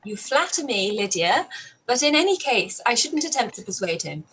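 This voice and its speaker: A woman's voice: on the high side, very animated, normal speed, fairly bright tone, slight background noise, low-quality recording. A woman voicing a witty, sensible young woman in a live-read radio play, dry and witty. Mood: wry.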